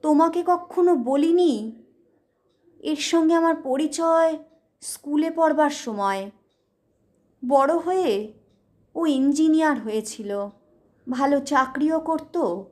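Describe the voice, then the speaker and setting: A woman's voice: high-pitched, slow pace, pauses often, darkish tone. A woman voicing a pensive, grave wife with a secret past in an audio ghost story. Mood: grave, distracted. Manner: grave, absent-minded.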